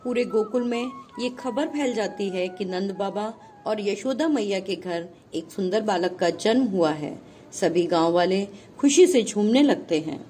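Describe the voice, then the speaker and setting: Slow, deliberate woman storyteller. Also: mid-range voice, animated, dark tone, slight background noise. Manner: deliberate, phrase-by-phrase delivery. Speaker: puppet show narrator. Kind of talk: scripted storytelling narration for children.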